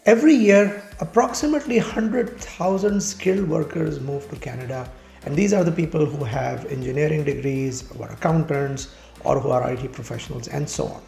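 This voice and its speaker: Guy hosting a podcast, gravelly voice, roomy sound, faint music under it. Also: a little high, moderate pace, darkish tone. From Pakistan. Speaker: podcast host. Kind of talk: podcast interview. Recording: echoey room with faint music.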